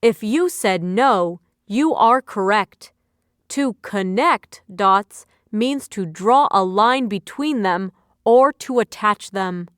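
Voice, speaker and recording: A woman reading scripted educational narration as a quiz instructor, with deliberate, instructional phrasing. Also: medium pitch, expressive, smooth, dry recording, clean studio sound.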